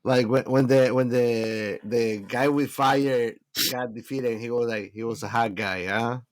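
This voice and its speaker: A man's voice: mid-range voice, fairly even intonation, a little slow. A man co-hosting a casual chat, talking in a slangy, buddy-like way full of "bro" and "man". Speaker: podcast co-host.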